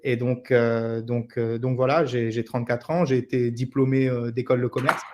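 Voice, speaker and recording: A man's voice: mid-range voice, normal speed, noisy background. A man in his thirties from Senegal, answering interview questions as a company director over a video call, hesitant with fillers. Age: 30s. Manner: hesitant, flat delivery with fillers.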